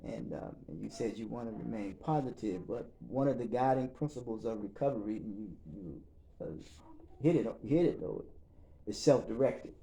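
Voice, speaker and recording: A man leading a recovery group session in a calm, measured way, with encouraging murmurs and thoughtful pauses. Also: fairly low pitch, vocal fry, low-quality recording. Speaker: facilitator and reverend.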